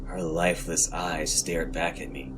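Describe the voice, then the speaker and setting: A man with a low voice reads horror fiction in the first person as a podcast narrator. His delivery is dramatic and scripted, with music clearly playing behind him. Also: fairly even intonation, a little slow.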